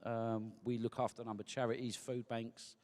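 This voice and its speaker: A man's voice: mid-range voice, flat delivery, brisk, darkish tone. Man, earnest, plain-spoken, interview answers. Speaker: fan group organiser.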